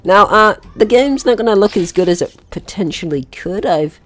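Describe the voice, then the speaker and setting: Chatty man with lively, swinging intonation. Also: high voice, normal speed. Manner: chatty, narrates own actions in short remarks. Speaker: gaming video host. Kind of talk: casual playthrough commentary.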